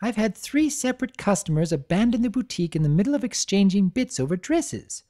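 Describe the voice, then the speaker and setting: A prissy, grandly spoken pony lady, put on by a woman reading a storybook aloud. She lifts her voice well above the narration and swoops through ornate phrases with a lively, musical lilt. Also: medium pitch, fairly quick, voice pushed up, lightly acted. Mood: relieved, fussy. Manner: posh, elaborate, dramatic diction. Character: prim theatrical adult mare fashionista.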